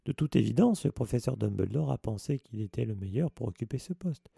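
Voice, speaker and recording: A man's voice: medium pitch, animated, brisk, vocal fry, warm tone, slightly rough, dry recording, studio quality, exaggerated voice. A man voicing an anxious, studious young witch girl in an audiobook, speaking earnestly with a slightly raised voice.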